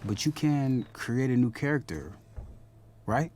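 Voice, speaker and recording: A man's voice: mid-range voice, lively intonation, a little slow, darkish tone, a little hiss or hum, close to an everyday voice. A man playing a protective, guilt-ridden older brother, a young man, in a video game drama. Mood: encouraging.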